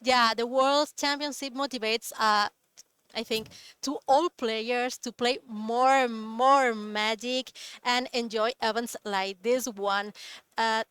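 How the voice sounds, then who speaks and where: A woman co-hosting a live stream, speaking haltingly and searching for her words. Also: a little high, fairly even intonation, fairly bright tone, smooth. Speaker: event co-host. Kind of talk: casual live stream chat.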